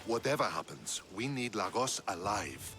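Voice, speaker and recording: A principled Spartan commander with a low voice and a lively, rising and falling delivery. He argues calmly for caution. Game music is clearly heard under him. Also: moderate pace. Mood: measured. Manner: calm, persuasive. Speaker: Spartan general.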